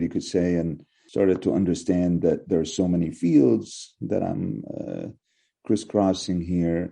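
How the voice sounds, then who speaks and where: Low gravelly man. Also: mellow. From Egypt. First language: Arabic. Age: middle-aged. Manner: measured and questioning, with frequent restarts. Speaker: professor, guest. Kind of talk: reflective interview answers.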